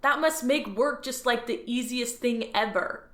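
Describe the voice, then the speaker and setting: An eager, naive alien newcomer voiced by a woman talks in a cheerful, earnest way. The voice is bright and a little high. A roomy echo and faint music sit behind it. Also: a little slow. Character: eager naive male alien new hire. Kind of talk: audio drama acting.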